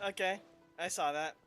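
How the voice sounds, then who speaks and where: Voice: medium pitch, sharp tone. A gameplay commentator making wry, offhand remarks in casual let's-play commentary.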